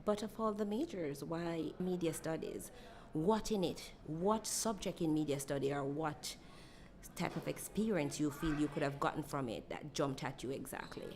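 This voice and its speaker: A woman's voice: low voice, moderate pace, darkish tone, a little hiss or hum. A woman interviewing a student guest for a class project, asking long, meandering questions.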